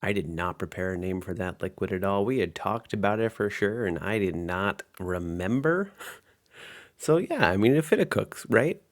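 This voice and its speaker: A man's voice: lowish voice, animated, moderate pace. A man chatting casually as game master, easygoing and appreciative of his players. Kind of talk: casual chat and commentary.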